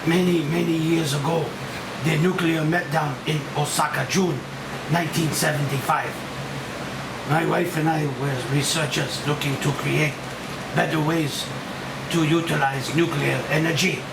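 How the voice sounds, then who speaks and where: A man's voice: on the high side, moderate pace, creaky. A man playing a grieving, remorseful older Japanese doctor of nuclear science in a script table read, speaking solemnly in short weighty phrases. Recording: distant mic, echoey room, steady hiss.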